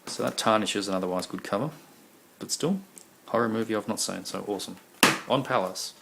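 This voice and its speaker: A man's voice: fairly low pitch, a little fast, vocal fry. A man showing his video collection in a casual, rambling way, full of ums, while losing his voice. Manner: rambling, many ums and uhs. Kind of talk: casual show-and-tell. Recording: noisy home recording.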